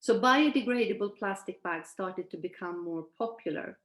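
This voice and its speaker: A woman in her sixties, originally from Sweden, talks through a slideshow in an earnest, measured way. She pauses and hesitates now and then. Her voice sits at an ordinary middle pitch and sounds echoey, as if picked up in a bare room over a video call. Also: fairly bright tone. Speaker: presenter at an online event. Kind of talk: presentation from shared slides.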